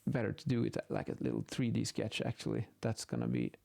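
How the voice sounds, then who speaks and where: A man's voice: lowish voice, big pitch swings, fast, creaky, mellow. A man giving an informal technical lesson, thinking aloud and correcting himself as he explains. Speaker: acoustics instructor explaining measurement methods. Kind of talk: informal explanatory lesson, improvised while sketching.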